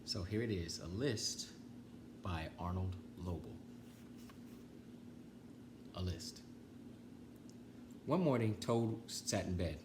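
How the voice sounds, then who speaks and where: A man reads aloud for children in a fairly low voice. His intonation is lively and varied, with deliberate pauses between phrases. Faint noise runs behind him. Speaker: children's storytime reader. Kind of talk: read-aloud storytelling for children.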